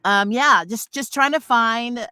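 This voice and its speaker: A woman's voice: on the high side, measured pace, sharp tone, smooth, no room sound, studio quality. A woman voice actor chatting casually about her role on a livestream, hesitant with fillers and easy laughs. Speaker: voice actor in the cast.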